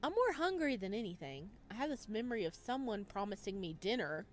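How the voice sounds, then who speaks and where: A woman's voice: mid-range voice, very animated, fairly quick, close to an everyday voice. A woman voicing a friendly young man, the polite protagonist of a visual novel, casual and earnest. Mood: teasing.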